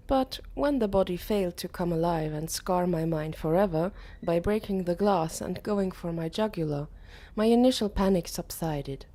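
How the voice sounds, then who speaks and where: A woman voicing a curious, uneasy teenage boy who narrates an audiobook in a measured, earnest way. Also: lowish voice, lively intonation, warm tone. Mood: narrating.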